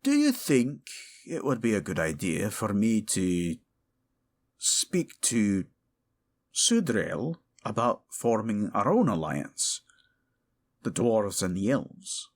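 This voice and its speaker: A man's voice: lowish voice, huge pitch swings, slow pace, full character voice. Man voicing a blunt, earthy dwarf. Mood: tentative. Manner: earthy, informal, folksy speech. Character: gruff, blunt, suspicious dwarf man, loyal to his king. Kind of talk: fantasy audio roleplay drama.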